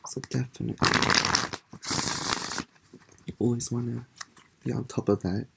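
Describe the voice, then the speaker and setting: A soft-spoken tarot reader with a stuffy, congested voice drifts from thought to thought in a relaxed card reading. Loud background noise and the clicks of shuffled cards fill the recording. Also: medium pitch, fast talker, vocal fry. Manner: soft-spoken, meandering, musing aloud.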